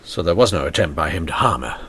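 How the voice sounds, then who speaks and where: An actor playing a measured, dryly witty Victorian police inspector in a radio mystery drama. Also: male voice, very deep voice, lively intonation, fairly bright tone, slight background noise. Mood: cool, wry.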